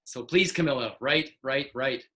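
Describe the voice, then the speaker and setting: A man's voice: mid-range voice, moderate pace, fairly bright tone. A man in his sixties, an author on a video call, talking earnestly and encouraging a young reader. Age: 60s. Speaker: author guest. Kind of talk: casual video call chat.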